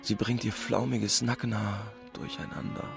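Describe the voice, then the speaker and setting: Smitten, musing country doctor, gravelly. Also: male voice, fairly low pitch, flat delivery, moderate pace, music in the background. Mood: entranced, musing. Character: smitten young country doctor, wistfully admiring a woman. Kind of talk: literary radio play.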